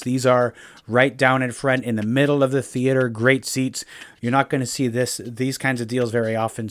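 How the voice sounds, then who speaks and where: A man's voice: medium pitch, dry recording. A man hosting a theater podcast, reading plugs and then chatting with his guest. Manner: reads sponsor spots, then chatty and encouraging. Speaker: podcast host. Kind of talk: interview.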